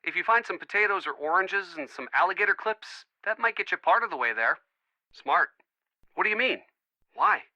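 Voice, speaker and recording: An actor playing an earnest, wisecracking young American boyfriend, chatty and eager, in an adventure game. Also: male voice, high voice, animated, moderate pace, pushed and bright, dry recording, full character voice, poor audio. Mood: puzzled, helpful. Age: young adult. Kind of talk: scripted adventure game dialogue.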